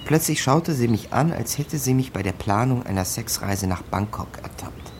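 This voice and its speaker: An actor playing a smooth-talking small-time crook in a radio crime drama, wry and rambling, both talking and narrating. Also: male voice, mid-range voice, lively intonation, moderate pace, a little mellow, a little hiss or hum, natural voice. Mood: cautious, wry. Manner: wry, hesitant, rambling in dialogue.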